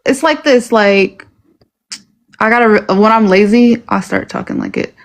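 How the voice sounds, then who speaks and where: A woman's voice: a little high, normal speed. A woman chats casually on a group livestream. She talks in an informal, giggly way, as if among friends. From Los Angeles, United States. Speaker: first-time livestream participant.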